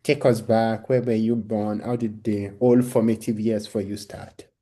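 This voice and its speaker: A man's voice: lowish voice, flat delivery, a little slow, warm tone. A man hosting a podcast in a casual, conversational interview style. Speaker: podcast host.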